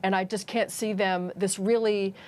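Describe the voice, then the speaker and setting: A woman's voice: mid-range voice, fairly even intonation, normal speed, a little mellow. A woman giving live political analysis as a guest on a news panel.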